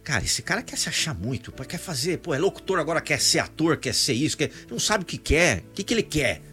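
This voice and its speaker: Brazilian guy from sao paulo state chatting on a podcast, super lively intonation, emphatic, bit of music there. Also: a little high, fast talker. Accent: Brazilian, São Paulo state. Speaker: podcast host, voice-over announcer and actor. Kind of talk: casual podcast conversation.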